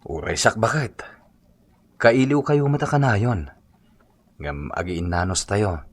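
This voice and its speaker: A man's voice: on the low side, expressive, takes it slowly. A man with an Ilocano accent playing a tender, hardworking husband in a radio drama.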